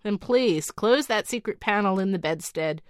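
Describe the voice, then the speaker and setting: A woman voicing a calm, offhand magical man who serves an enchanted bedstead, in a storybook reading. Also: mid-range voice, normal speed, smooth, no echo, studio quality, natural voice. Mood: easygoing. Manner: easygoing, offhand.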